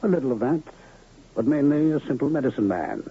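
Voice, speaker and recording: A man playing a courtly, cryptic medicine man and illusionist in a radio drama, with florid, measured, theatrical speech. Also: mid-range voice, expressive, husky. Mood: solemn. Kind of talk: 1970s radio drama acting. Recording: dull, lo-fi old radio recording.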